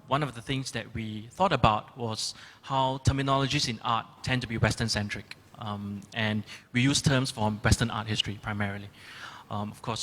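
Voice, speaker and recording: A man's voice: fairly low pitch, a bit bright. A man curator answering audience questions at a panel, thoughtful and hesitant with frequent ums. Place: large gallery auditorium. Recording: long reverb of a large hall.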